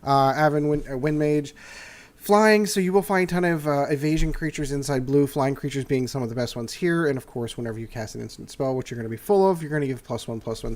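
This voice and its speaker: A man's voice: medium pitch, a little mellow. Older guy reviewing a card deck, kinda nasal from a cold, lots of uhs. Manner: rambling with many "uh" fillers and restarts. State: congested from a cold. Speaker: host. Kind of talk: casual card game review.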